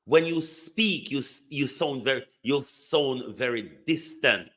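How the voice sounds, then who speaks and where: A man's voice: medium pitch, expressive, unhurried, a bit bright. A man giving an emphatic political commentary monologue, full of repeated rhetorical questions. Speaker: online commentator.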